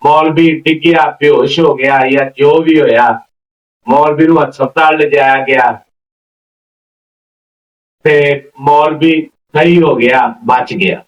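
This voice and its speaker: A man's voice: fairly high pitch, steady tone, measured pace, long pauses. A man telling a folk story informally and unhurriedly, repeating phrases as he links one event to the next. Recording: roomy, distant, slightly dull mic.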